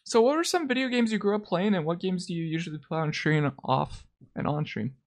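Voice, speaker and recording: A woman's voice: lowish voice, lively intonation, fairly quick. A woman hosting a live stream, chatting casually with a guest, teasing and swearing often. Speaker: streamer host.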